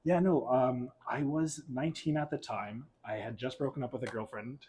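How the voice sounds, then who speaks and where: A young man with a middle-pitched voice tells his own story as a guest, openly and with frequent laughter. A faint ambient hum sits under the recording. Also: moderate pace, a little mellow. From Portugal, raised in Alberta, Canada. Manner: chatty, candid storyteller who laughs often. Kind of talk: casual interview chat.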